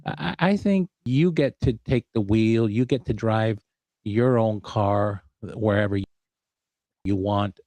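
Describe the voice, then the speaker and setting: A man chatting casually as a panel guest in a halting way, often repeating his words. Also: lowish voice, a little mellow, no echo. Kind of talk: casual chat.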